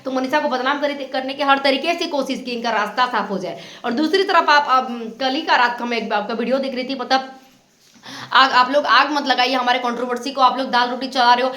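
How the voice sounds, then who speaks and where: High-pitched, fast-talking woman giving reaction commentary. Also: pushed and bright, roomy sound. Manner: reproachful, insistent, repetitive. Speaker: reaction channel host.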